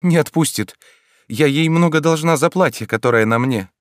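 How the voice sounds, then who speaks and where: A timid young woman of the streets, pleading and shaking with fear, as a man puts her on in a high voice. The delivery is dry and close. It belongs to an audiobook reading. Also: moderate pace, clear, resonant tone, no echo, natural voice. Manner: pleading, trembling.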